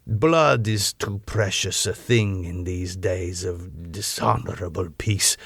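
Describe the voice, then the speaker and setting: Proud ancient vampire nobleman: boastful, declamatory gothic storybook villain, measured pace, dry close sound. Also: medium pitch, creaky, a bit bright, no room sound, full character voice. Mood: weary, brooding. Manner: grandiose, declamatory, rhetorical questions. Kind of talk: dramatic gothic audiobook character reading.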